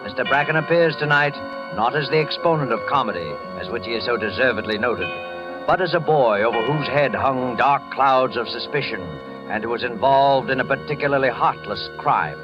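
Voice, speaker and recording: A man's voice: medium pitch, fairly quick. A man hosting in a formal, suspenseful 1940s radio style. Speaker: host. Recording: dull 1940s radio, music behind.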